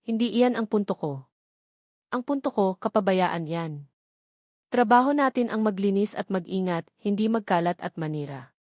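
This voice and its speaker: A woman's voice: mid-range voice, expressive, normal speed, darkish tone, no echo, clean studio sound, natural voice. Woman as a cold, blunt male janitor. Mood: scornful. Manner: blunt, accusing. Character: cold, stern, scornful young male janitor.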